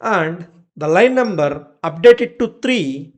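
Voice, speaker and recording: A tutorial presenter narrating step-by-step software instructions in short, clipped commands. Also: mid-range voice, animated, takes it slowly.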